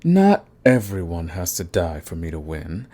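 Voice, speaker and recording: Villain, male actor: deep voice, cool menace, smug and taunting, unhurried threats, audio roleplay. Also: sing-song, warm tone, heavily acted. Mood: cool mocking. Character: cocky, menacing male villain, coolly fond of his enemy. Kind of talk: ASMR roleplay acting, addressing the listener.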